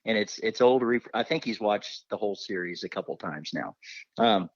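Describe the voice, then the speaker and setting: A man's voice: on the low side, moderate pace, no echo, lo-fi sound. A middle-aged man asking casual, roundabout interview questions as a co-host.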